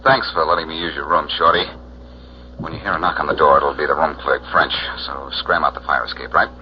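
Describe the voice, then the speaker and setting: An American man acting a quick-witted, wisecracking detective in 1940s radio style, wry and smooth-talking. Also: a bass voice, steady tone, background noise. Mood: businesslike. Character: quick-witted, wisecracking male amateur detective, cool under pressure. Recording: muffled, humming old radio recording.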